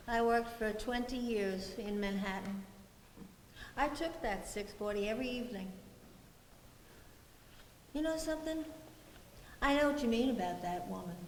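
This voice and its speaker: A wistful older woman played by an actress confides dreamily on a theatre stage. Her voice is fairly high, set in a roomy hall with faint hiss. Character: wistful, chatty older retired woman commuter. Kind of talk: live one-act stage play monologue. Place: indoor theatre stage, recorded from a distance.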